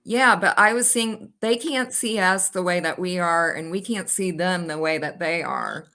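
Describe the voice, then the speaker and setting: A woman's voice: on the low side, normal speed, pushed and bright. A woman hosting a live stream, rambling and speculating in casual chat.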